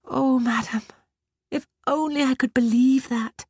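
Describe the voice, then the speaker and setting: A woman voicing a dutiful, tearful young peasant girl in a storybook, with a high, breathy, pleading voice. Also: a little slow, no room sound, studio quality.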